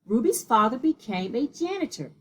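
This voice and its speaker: A woman with a slightly husky voice reading a children's picture book aloud in a measured, deliberate way. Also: on the high side, very animated, fairly bright tone, slight background noise. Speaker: read-aloud storyteller.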